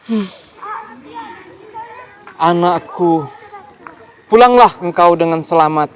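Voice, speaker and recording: A storyteller voicing a worried grasshopper parent who gently warns their child in a storybook tale. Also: mid-range voice, slow pace, a little hiss or hum, close to an everyday voice. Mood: tender. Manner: gentle, cautioning.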